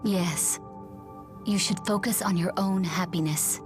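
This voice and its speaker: A dignified female spirit from a fantasy game, acted by a woman. She is composed and formal, her voice a little low. Soft music sits behind her words. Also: fairly even intonation, unhurried, natural voice. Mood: calm. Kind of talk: dramatic video game voice acting.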